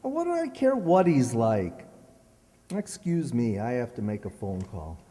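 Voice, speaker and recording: An amateur actor playing a jealous, dismissive, wry husband in an old-time radio comedy. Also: male voice, medium pitch, huge pitch swings, unhurried, warm tone. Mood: feigned nonchalance. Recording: echoey hall with long reverb.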